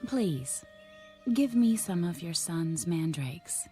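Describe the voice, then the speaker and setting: An actress playing an intense, yearning rival wife in a dramatized audio Bible, with emphatic, formal phrasing. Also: female voice, lowish voice, animated, slow, warm tone. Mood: pleading. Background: music.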